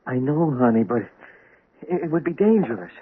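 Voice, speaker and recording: A man's voice: fairly high pitch, animated, moderate pace, slightly rough. An actor playing a nervous husband who stammers and stumbles over his words in a radio drama. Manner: stammering, jittery. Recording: tinny old radio broadcast recording.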